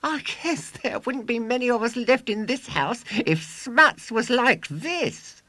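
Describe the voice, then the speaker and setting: A man's voice: extremely high pitch, lively intonation, slow, pushed and bright, no room sound, pitched above the performer's own voice, exaggerated voice. A man voicing a boastful, scornful small schoolboy in a children's storybook, bragging emphatically. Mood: scornful amusement. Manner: exclamatory, bragging, emphatic delivery.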